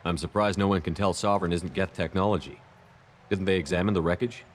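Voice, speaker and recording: An actor playing a resolute, businesslike military starship commander in a video game. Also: male voice, deep voice, steady tone, fast, a little hiss or hum, close to an everyday voice.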